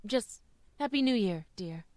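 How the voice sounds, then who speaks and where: A woman's voice: mid-range voice, expressive, a little slow, darkish tone, dry recording, close to an everyday voice. A woman playing a tender, wry single mother in an old-time radio drama. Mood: fond, patient.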